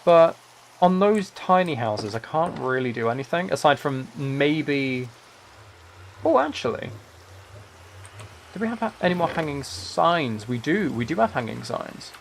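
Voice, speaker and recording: Male streamer, creaky mid-pitched voice, soft-spoken relaxed gaming chat, faint rain-like noise behind. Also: measured pace. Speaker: livestreamer. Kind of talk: relaxed chat while playing a building game.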